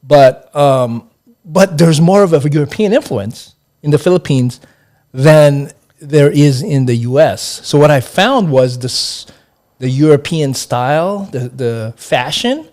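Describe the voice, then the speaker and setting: A middle-aged man from Hawaii, a guest in an interview, talking in a relaxed, hesitant way with many fillers and restarts. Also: a little high, slow pace. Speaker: interview guest, accounting professor.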